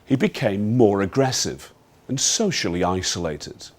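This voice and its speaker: Deep-voiced investigator narrating. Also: male voice, sing-song, a little slow, background noise. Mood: grave, factual. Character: measured male investigator recounting a troubled youth's case. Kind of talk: scripted dramatised case narration.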